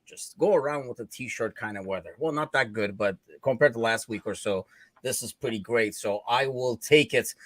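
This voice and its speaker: A man's voice: medium pitch, moderate pace, fairly bright tone, no echo. A man from Turkey hosting a live football podcast, talking loosely with lots of fillers. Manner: loose and chatty, many fillers. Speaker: podcast host. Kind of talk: casual podcast chat. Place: Chicago.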